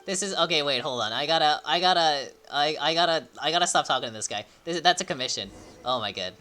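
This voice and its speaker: A young man giving casual, rambling, excitable live commentary as he streams a game. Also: on the high side, fast talker. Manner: rambling, excitable, mild swearing. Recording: faint game music behind. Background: game music.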